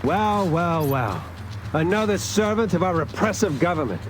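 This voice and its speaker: Sneering militia villain, highish voice. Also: male voice, animated, normal speed, background noise. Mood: mocking, menacing. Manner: mocking. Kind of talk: video game villain acting.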